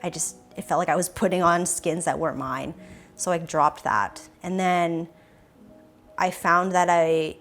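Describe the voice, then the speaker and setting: A young Canadian woman sits for an interview and answers in a thoughtful, hesitant way, pausing often to find her words. Her voice sits on the low side for a woman, and soft music plays faintly behind her. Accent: Canadian English. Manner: thoughtful, hesitant, with many pauses and restarts. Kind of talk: reflective personal interview.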